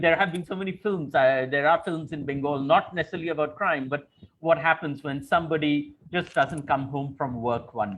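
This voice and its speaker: A man's voice: medium pitch, a bit bright, husky, lo-fi sound. A man interviewing in a literary conversation, articulate and thoughtful, building long explanatory questions. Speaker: interviewer and moderator.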